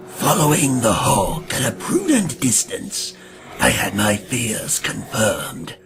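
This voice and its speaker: A man playing a grim, ancient vampire hunter who narrates a gothic audio drama in a solemn, ornate and gravelly manner. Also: lowish voice, huge pitch swings, heavily acted. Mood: brooding, resolute. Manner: solemn, ornate, deliberate delivery. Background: dramatic music.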